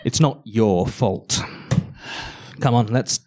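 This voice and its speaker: An actor playing an earnest, slightly exasperated male archivist in a live audio drama, speaking in a halting, apologetic way. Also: fairly low pitch, warm tone, slight background noise. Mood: resigned.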